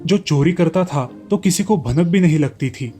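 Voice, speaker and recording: A man's voice: on the high side, lively intonation, normal speed, dark tone. A man narrating a scripted fairy tale as a storyteller. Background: light background music.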